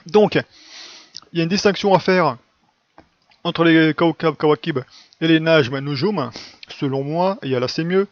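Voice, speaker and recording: A man's voice: fairly high pitch, measured pace, pauses often, no room sound. A man giving an informal, didactic spoken lesson in a colloquial style with frequent tag words, recorded close up with slightly dull, lo-fi sound. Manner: colloquial, didactic, frequent "hein" and "quoi" tags. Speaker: religious video teacher. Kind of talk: informal explanatory monologue.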